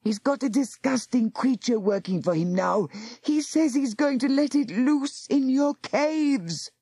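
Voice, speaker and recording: A woman's voice: lowish voice, sing-song, a little slow, dark tone, husky, no echo, clean studio sound, heavily acted. A woman voicing an earnest, brave young boy who speaks urgently. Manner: urgent, breathless pleading.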